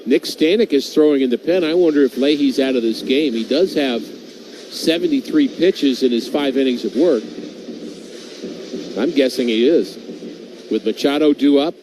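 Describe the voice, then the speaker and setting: A man's voice: mid-range voice, normal speed, noisy background. A man working as a radio color commentator, breaking down baseball strategy in a thoughtful, speculative way.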